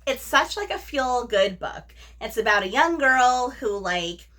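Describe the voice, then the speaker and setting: A woman with a fairly high, very bright voice hosts a casual review vlog. She speaks informally and effusively, leaning hard on key words and dropping in slangy asides. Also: expressive, a little slow. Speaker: book review vlogger, host. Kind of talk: casual book chat to camera.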